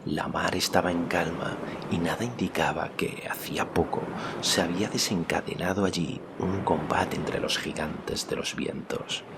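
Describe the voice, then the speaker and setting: A man's voice: low-pitched, steady tone, moderate pace, gravelly, few pauses, very noisy. A man narrating a fantasy audiobook in a dramatic, scripted storytelling style. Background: loud music.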